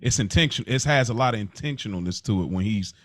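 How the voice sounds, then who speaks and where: A man's voice: fairly low pitch, expressive, fast, dry recording, clean studio sound. A man in casual, opinionated podcast discussion, talking slangily and repeating phrases for emphasis. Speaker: podcast co-host.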